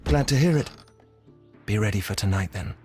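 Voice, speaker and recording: An actor playing a wary criminal conspirator in a game, clipped and businesslike, with a husky voice. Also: male voice, lowish voice, lively intonation, moderate pace, darkish tone, faint music behind, close to an everyday voice. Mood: curt, wary. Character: curt, wary male criminal conspirator giving orders. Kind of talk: scripted game dialogue.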